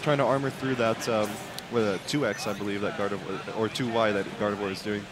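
A man's voice: lowish voice, steady tone, quick pace, crowd noise. A man doing casual, chatty live commentary on a fighting game as a co-commentator. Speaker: esports co-commentator. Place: convention center esports event. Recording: noisy event hall background.

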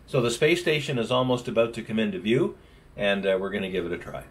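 A man's voice: fairly low pitch, fairly quick. A man, giving casual explanatory commentary and radio call-outs in a home office. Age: 60s. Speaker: amateur radio operator, vlogger. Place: small home office, indoors. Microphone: camera a short distance away. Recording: noisy room, mic some distance away.

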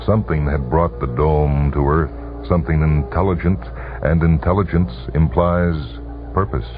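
A man narrating a short story for radio in a measured, suspenseful, dramatic reading, heard on a muffled old broadcast. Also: very deep voice, steady tone. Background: music.

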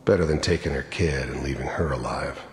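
An actor playing a cold-hearted, world-weary middle-aged mercenary in a video game, gravelly, laconic and matter-of-fact. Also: male voice, rumbling low, fairly quick. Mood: reflective. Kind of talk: video game character monologue and dialogue. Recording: echoey reverberant hall, faint noise.

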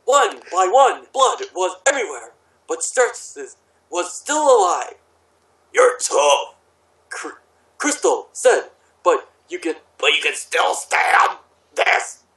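A woman's voice: mid-range voice, big pitch swings, slow pace, a bit bright. A woman chatting casually and reading a story aloud on a group voice call in an echoing room.

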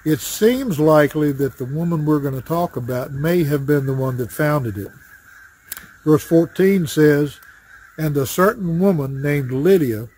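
A man's voice: on the high side, a little mellow, husky, poor audio. Folksy older man teaching outdoors. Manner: plain, folksy teaching with frequent "uh" pauses. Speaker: associate pastor teaching Sunday school. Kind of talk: Bible study lesson. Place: outdoors in a wooded backyard. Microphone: webcam or phone framed at chest height. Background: crows cawing.

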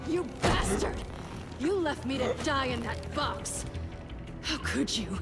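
An actress voicing a betrayed cat-burglar antiheroine in a video game, bitter and sarcastic. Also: female voice, sits high, animated, takes it slowly, exaggerated voice. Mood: bitter, angry. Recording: loud music behind.

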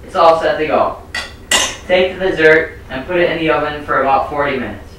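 Teen male host, slightly high voice, deadpan scripted cooking demo, home kitchen, camera mic. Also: fairly quick, noisy background. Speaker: host of a mock cooking show. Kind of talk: scripted mock cooking demonstration. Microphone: camera mic, a few steps away.

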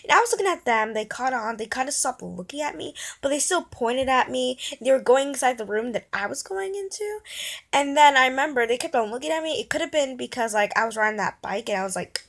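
A young girl, a child or young teen, chatting casually and telling a story in an animated, dramatic way into a phone held at arm's length. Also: high voice, brisk, creaky. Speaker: vlogger. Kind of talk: casual storytime vlog chat.

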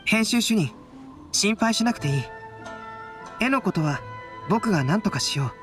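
An actor playing a calm, analytical young male artist and scholar in a Japanese anime game, measured and reflective. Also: high voice, music in the background. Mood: calm, reassuring.

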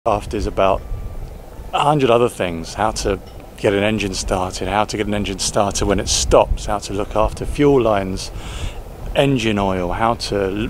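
A man in his forties talking casually to camera outdoors at a boatyard, relaxed and reflective, with frequent fillers and restarts. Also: fairly low pitch, slight background noise. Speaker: sailing vlogger. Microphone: camera-mounted mic, handheld selfie framing.

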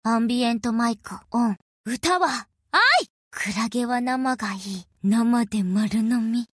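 A woman voicing an aloof, quirky young idol girl with deadpan, clipped phrasing, in anime-style prompts. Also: a little high, unhurried, husky, dry recording, clean studio sound. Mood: flat, cool.

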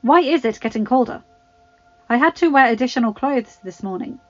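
A woman voicing a petulant, tyrannical young male king who barks shrill demands, in a slightly dull lo-fi recording. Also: lively intonation, brisk, faint music behind. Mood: imperious.

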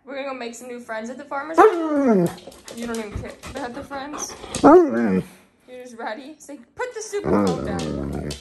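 A woman's voice: on the high side, huge pitch swings, moderate pace, long pauses, a little mellow. A woman filming and coaxing her dog in casual baby-talk, at home and out on a street. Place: home then outdoor street market. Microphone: handheld phone camera.

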